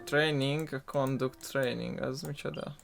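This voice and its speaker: Young man, mid-pitched, casual let's-play host, blunt and sweary, game music clearly behind. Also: fairly even intonation, measured pace. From Hungary. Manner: blunt, grumbling, profane. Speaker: gaming video host. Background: video game music.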